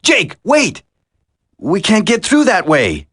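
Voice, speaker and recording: A man's voice: high voice, huge pitch swings, takes it slowly, a bit bright, slightly rough, full character voice. A man voicing an earnest, determined young commando in a video game, urgent in delivery. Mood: apologetic.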